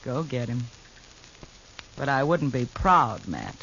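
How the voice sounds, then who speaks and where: An actress playing a protective, world-weary dance-hall madam in a 1950s radio western, dramatic and wry. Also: female voice, a bass voice, big pitch swings, slow pace, a bit bright, full character voice. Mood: wry pleading. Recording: noisy, dull old radio recording.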